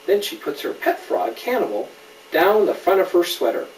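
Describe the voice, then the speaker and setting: A man's voice: high-pitched, big pitch swings, normal speed. A father reading a story aloud to a child, patiently explaining and asking questions in casual asides. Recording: roomy, distant mic, faint background noise.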